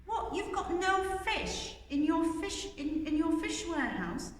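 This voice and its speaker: A woman voicing a group of excited young children in a read-aloud story, pitching up high and pleading, heard from a distance in an echoey hall with faint noise in the background. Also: measured pace, full character voice. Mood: surprised.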